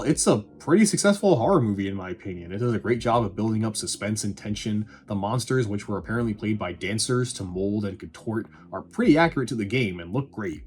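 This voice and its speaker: A man with a husky voice giving casual, scripted movie review commentary in a sarcastic, irreverent, sweary manner. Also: lowish voice, darkish tone. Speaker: video reviewer.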